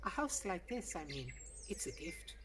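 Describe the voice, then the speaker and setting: A woman's voice: on the low side, big pitch swings, moderate pace. A woman reading a story voices a self-assured young male missionary with practiced politeness. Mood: smiling approval. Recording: distant mic, outdoor noise, birdsong.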